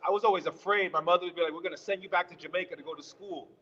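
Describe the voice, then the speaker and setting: A man's voice: sits high, steady tone, rapid-fire, a bit bright. A man from Brooklyn telling his life story as an interview guest, rambling and correcting himself as he goes, with easy laughs. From Brooklyn, New York. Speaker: guest author. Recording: dull sound with long reverb.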